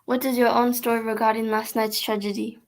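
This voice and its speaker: A formal, authoritative female magistrate in an old-style radio mystery, played by an amateur actress. Her voice is fairly high and very even as she questions witnesses. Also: a little fast, close to an everyday voice. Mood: formal, measured. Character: formal, authoritative female French prefect judging a case.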